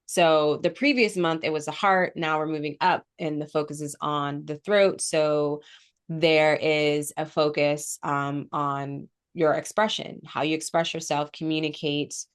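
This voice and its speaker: A woman's voice: deep voice, fairly even intonation, unhurried, clear, resonant tone. A woman co-hosting a casual spiritual podcast, congested from a cold with a sore throat, explaining at length with frequent ums. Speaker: podcast co-host.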